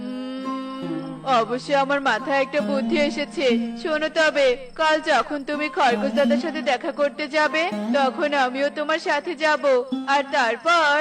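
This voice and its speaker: A woman voicing gentle, helpful male figures in a cartoon in a kindly, reassuring manner. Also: high-pitched, fairly even intonation, normal speed, music in the background, natural voice. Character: cloud king and helpful bird husband, gentle male figures.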